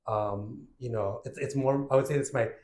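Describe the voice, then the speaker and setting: A man's voice: mid-range voice, moderate pace. Conversational man in an echoey room. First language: Urdu. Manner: warm affirming, frequent fillers. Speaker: podcast host. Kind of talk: casual conversational interview.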